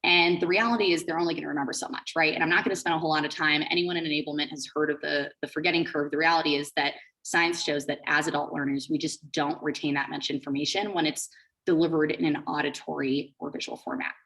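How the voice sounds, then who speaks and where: A woman's voice: sits low, steady tone, fast talker, a bit bright, low-quality recording. A woman co-founder giving a conversational presentation, explaining things informally with plenty of "like" and "you know". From Switzerland and the Bahamas. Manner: informal, explanatory, peppered with 'like' and 'you know'. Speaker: startup co-founder presenting as guest speaker.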